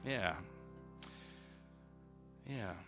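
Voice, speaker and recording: A man with a hoarse, recovering voice, chatting casually to viewers on his livestream with plenty of fillers and restarts. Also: mid-range voice, expressive, extremely slow, pushed and bright, soft background music. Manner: rambling, many fillers and restarts. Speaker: worship singer hosting a livestream.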